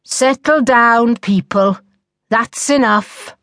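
Woman as middle-aged male teacher, exasperated, scolding, sarcastic, husky, measured delivery, storybook reading. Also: mid-range voice, dry recording, clean studio sound, lightly acted. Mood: angry, scolding.

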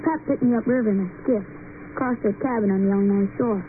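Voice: mid-range voice, steady tone, fast, music in the background, natural voice. A performer playing a young, plain-spoken, stubborn backwoods boy, in an old-time radio drama. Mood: matter-of-fact narrating. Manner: plain, folksy, unschooled speech. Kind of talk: old-time radio drama acting and first-person narration. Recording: noisy, tinny old radio recording.

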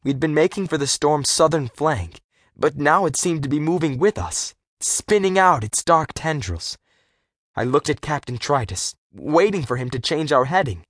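A man narrating a first-person adventure story for young readers, expressive and clearly articulated, as a scripted audiobook. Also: fairly high pitch, normal speed, no room sound, clean studio sound.

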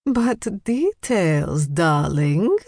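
A woman's voice: on the low side, very animated, slow pace, a little mellow, dry recording, clean studio sound, full character voice. A rich Russian society lady in her fifties, put on by a woman narrator. She coos and pries with lingering, stretched words, gushing and insistent, as a character in an audiobook. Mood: cooing, prying. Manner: cooing, gushing, domineering.

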